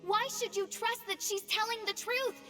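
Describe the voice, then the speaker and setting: Haughty, high-pitched princess girl. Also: fairly even intonation, normal speed, sharp tone. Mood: alarmed, indignant. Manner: grandiose, pompous, theatrical diction. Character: haughty young princess girl with theatrical, archaic speech. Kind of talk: anime video game voice acting. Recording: long reverb, music behind.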